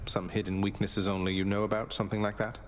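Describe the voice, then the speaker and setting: A man's voice: on the low side, little pitch movement, quick pace, slight background noise, lightly acted. A man playing a young rebellious underworld prince in a video game, wry, polite and kindly. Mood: wry, earnest. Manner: polite, dry, casual. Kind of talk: video game character dialogue.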